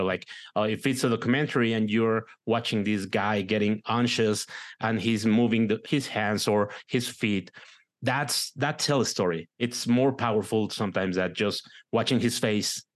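A low-pitched, middle-aged man speaks English with a Mexican Spanish accent. He talks casually as an interview guest, stumbling and repeating words while he searches for the right phrase. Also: normal speed. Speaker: guest, director and producer.

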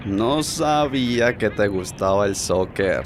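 A man's voice: lowish voice, expressive, slow, a little hiss or hum. A man playing an uneasy, hesitant detention guard in radio drama dialogue. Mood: casual.

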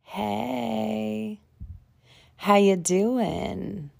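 A woman hosting her own podcast in a casual, rambling way, her voice raspy from allergies. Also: mid-range voice, extremely slow, soft background music. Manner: rambling, chatty, with frequent fillers and self-interruptions. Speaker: podcast host and tarot reader. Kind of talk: casual solo podcast rant.

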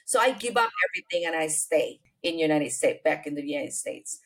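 A middle-aged woman from Indonesia with an Indonesian accent, telling her life story as an interview guest, unhurried and full of fillers. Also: low voice, lively intonation. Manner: unhurried, many fillers and restarts, non-native grammar.

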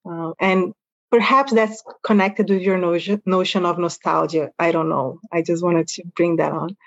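A woman's voice: fairly low pitch, steady tone, normal speed, dry recording, muffled recording. A woman interviewing an artist, asking long, musing questions with some fillers. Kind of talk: conversational interview.

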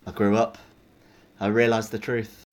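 A man's voice: on the low side, little pitch movement, unhurried, close to an everyday voice. A man plays a young English militia soldier in a naturalistic audio play. He is torn and awkward, stammering and breaking off his sentences. He swears freely as he struggles with his orders. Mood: grim, resolute. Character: conflicted young male militia soldier, awkward then desperate. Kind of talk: naturalistic audio drama acting.

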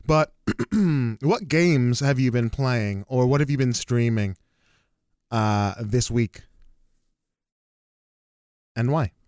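Smooth-voiced easygoing podcast host. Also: male voice, medium pitch, slow, long pauses, a little mellow, no room sound, clean studio sound. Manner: easygoing, repeats himself. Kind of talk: casual chat.

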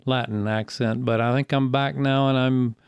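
Smooth-voiced older Southern man. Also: mid-range voice, fairly even intonation, normal speed, no room sound, studio quality. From Greenville, South Carolina. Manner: easygoing, folksy, rambling with fillers. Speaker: church elder and missionary guest. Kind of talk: casual interview conversation.

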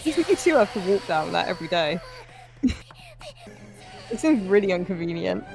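A woman's voice: fairly low pitch, very animated, normal speed, gravelly, darkish tone, faint music behind, poor audio. A woman co-hosting a movie podcast, giving blunt, sweary, casual commentary on a film.

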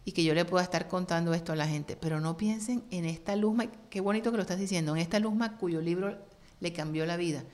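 A middle-aged woman from Colombia, a guest on an interview show, telling stories in an animated, expressive way. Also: fairly low pitch, fast talker. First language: Spanish. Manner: lively, expressive, acts out quoted voices. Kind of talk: animated storytelling in conversation.

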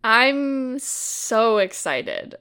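A woman's voice: fairly high pitch, very animated, drawn-out words, bright, forceful tone, clear, resonant tone. A woman co-hosting a film podcast in casual chat, gushing and giggly, full of likes and ums. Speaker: podcast co-host.

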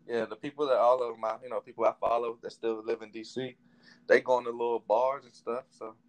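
A man's voice: mid-range voice, brisk, dry recording, low-quality recording. A man in his thirties from Washington, D.C., a hip hop artist talking casually as a podcast guest. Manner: relaxed, rambling, many fillers. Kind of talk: casual interview chat.

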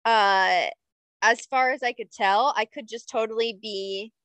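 Slow-talking young woman, dry close sound. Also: fairly high pitch, fairly bright tone, no room sound. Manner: unhurried, explains facts with frequent fillers and laughs. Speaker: podcast co-host. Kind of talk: casual chat.

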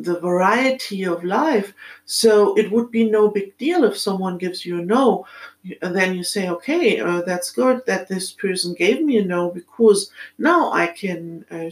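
Slow, thoughtful woman with a German accent. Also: on the low side, low-quality recording. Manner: thoughtful, halting, with many pauses and fillers. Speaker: podcast host. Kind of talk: reflective solo monologue.

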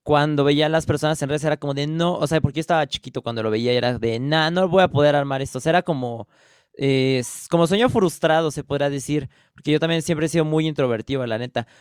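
A man's voice: a little high, quick pace, smooth, dry recording, clean studio sound. A man with a Mexican accent hosting a podcast, asking questions in a loose, chatty way. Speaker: podcast host. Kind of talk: casual interview chat.

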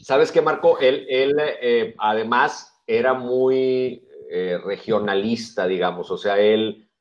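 A man, describing a friend's regional pride in a measured, hesitant way. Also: medium pitch. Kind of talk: reflective commentary in conversation. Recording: dull lo-fi audio.

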